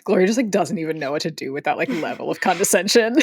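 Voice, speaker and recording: A young adult woman chatting casually as a tabletop game player, blunt and wry, with a gravelly, husky voice. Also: on the low side, expressive, quick pace, dry recording, clean studio sound. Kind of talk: casual, joking table banter.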